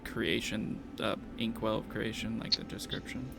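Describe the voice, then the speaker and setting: A man's voice: fairly low pitch, steady tone, normal speed, gravelly. A man casually running a tabletop game as the game master. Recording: faint background noise.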